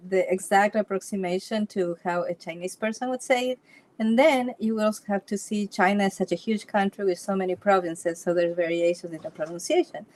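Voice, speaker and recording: Ecuadorian woman chatting in an interview, restarts a lot, laughs softly between thoughts. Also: mid-range voice, a little hiss or hum. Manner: storytelling with frequent restarts and light laughs. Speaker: Feng Shui consultant, interview guest. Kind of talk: casual interview chat.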